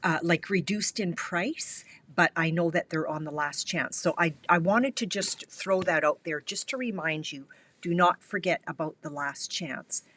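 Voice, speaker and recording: A woman's voice: fairly low pitch, normal speed, fairly bright tone. A middle-aged woman from Canada hosting a live craft tutorial, her voice stuffy and a little scratchy from a cold, chatting and greeting viewers by name. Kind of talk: casual live-stream tutorial chat.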